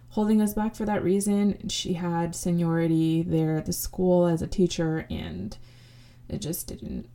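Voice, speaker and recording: Soft-toned woman sharing her life story. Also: fairly low pitch. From United States. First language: Spanish. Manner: reflective, many fillers and restarts. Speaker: podcast host. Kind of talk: casual personal storytelling.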